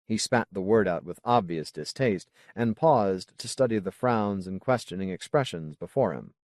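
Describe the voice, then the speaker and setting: A man's voice: low voice, expressive, moderate pace, darkish tone, no echo, studio quality. A man reading fiction aloud as a scripted audiobook narrator.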